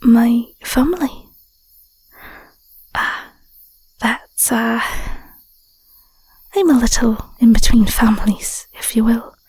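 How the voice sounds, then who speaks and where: A woman voicing an affectionate, bashful young Scottish werewolf girlfriend in an intimate audio roleplay, soft, whispery and a little hesitant, close on the mic. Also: on the high side, slow, pauses often, breathy edge, no echo, heavily acted, poor audio. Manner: hesitant, stammering, murmuring endearments. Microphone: close microphone.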